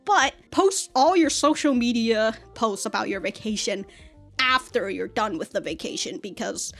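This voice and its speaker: A woman with a fairly high voice hosts a casual reaction commentary. She rambles in an animated, informal way and swears freely. Faint music plays behind her. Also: moderate pace, creaky. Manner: rambling, animated, laughs through remarks, swears. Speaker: reaction video host. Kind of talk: casual commentary on an anime episode.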